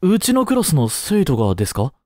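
A man playing a caring, easygoing high school teacher in a voice drama, speaking conversationally with gentle concern. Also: wildly swooping pitch, brisk, dry recording, studio quality. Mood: worried, polite. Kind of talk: scripted Japanese voice drama acting.

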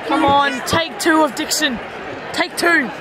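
A teenage boy with a hoarse, worn-out voice, excitedly vlogging and cheering at a football game. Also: very high voice, measured pace. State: hoarse, voice lost. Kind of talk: casual vlog commentary. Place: packed outdoor football stadium. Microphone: handheld camera. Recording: loud crowd noise and music. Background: crowd cheering.

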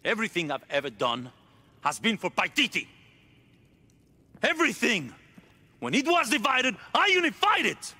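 An actor playing a proud, driven middle-aged cult leader in a video game cutscene, intense and grandiose, moving between hushed and declamatory. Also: male voice, sits high, huge pitch swings, moderate pace, long pauses, slightly rough, exaggerated voice. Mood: impassioned.

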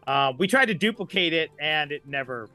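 A man's voice: fairly high pitch, animated, a little fast, pushed and bright, faint music behind. A man talking casually as a podcast guest, informal, with trailing asides. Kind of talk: casual chat.

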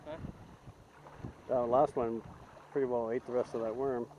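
A man's voice: medium pitch, flat delivery, moderate pace, muffled recording. Man talking to fish on windy shore. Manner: muttering to the fish, chatty exclamations. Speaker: fishing vlogger. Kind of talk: casual self-talk while fishing. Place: outdoors on a windy lakeshore. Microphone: head-mounted action camera. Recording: loud wind noise on action camera.

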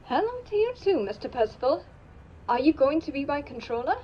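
A woman's voice: high voice, big pitch swings, fairly quick, lo-fi sound. A woman voicing a friendly young female diesel engine who speaks politely and with curiosity, with music underneath.

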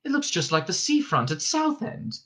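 Man playing a high-pitched, fretful Englishman. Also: very animated, normal speed, bright, forceful tone, heavily acted. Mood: bewildered. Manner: exclaiming, anxious, querulous. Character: bewildered, fretful Englishman, polite but easily panicked.

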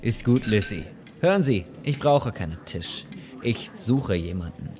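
An actor playing a polite, slightly awkward lawyer in an audio drama, speaking in a courteous, formal, hesitant way. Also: male voice, fairly low pitch, lively intonation, a little slow, a little mellow. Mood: businesslike.

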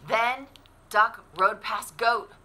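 A woman in her forties reading a picture book aloud to children in an expressive, deliberate storytelling way. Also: fairly high pitch. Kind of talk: animated children's read-aloud.

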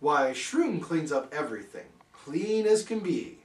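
A sly trickster cat from a children's storybook, played by a man, boasting and urging in showy rhyme. The voice sits fairly high and swoops about, heard in a roomy space a little away from the mic. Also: big pitch swings, measured pace, far from the mic. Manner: exclaiming, showy singsong rhyming.

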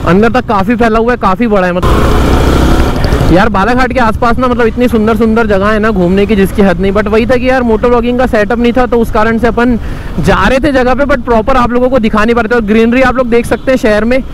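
A man's voice: very high voice, fairly even intonation, fast. A young man giving casual, rambling commentary to viewers while riding a motorcycle outdoors. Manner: chatty, rambling, friendly asides to viewers. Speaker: motovlogger. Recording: wind and traffic noise outdoors.

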